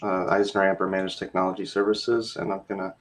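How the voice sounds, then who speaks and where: A man on an IT panel, his voice raspy after a cold, adding wry asides. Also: low voice, level tone, quick pace. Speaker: panelist, IT provider. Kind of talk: casual panel discussion.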